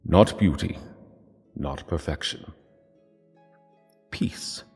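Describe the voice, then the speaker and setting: A man giving solemn scripted literary narration in a hushed, measured way, with dramatic pauses. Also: a bass voice, husky. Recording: long hall reverb, music underneath.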